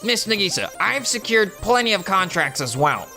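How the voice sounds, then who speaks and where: Man acting a cocky, whiny young hotshot salesman, sharp-voiced. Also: high-pitched, sing-song, normal speed, soft background music, heavily acted. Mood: pleading. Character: cocky, prideful young male salesman, quick to deny his faults. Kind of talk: anime-style dubbed dialogue.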